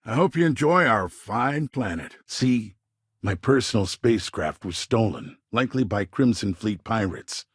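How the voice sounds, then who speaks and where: A man playing a smooth-talking, self-important middle-aged colonial governor in a video game, with polished politician's phrasing and a husky voice. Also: fairly low pitch, no room sound, clean studio sound. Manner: polished politician's talk, veiled threats. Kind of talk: game dialogue voice lines.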